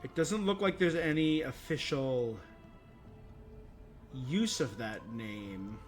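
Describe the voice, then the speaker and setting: A man's voice: medium pitch, lively intonation, slow pace, soft background music. A man livestreaming a game with casual commentary on its lore, thinking aloud as he goes.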